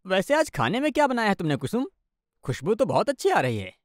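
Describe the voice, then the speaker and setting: A man acts out an exasperated young husband in a cartoon story. His pitch moves around a lot as he grumbles sarcastically, and the sound is dry. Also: huge pitch swings, fast, no echo. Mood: curious. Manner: sarcastic, complaining. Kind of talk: animated moral story dialogue.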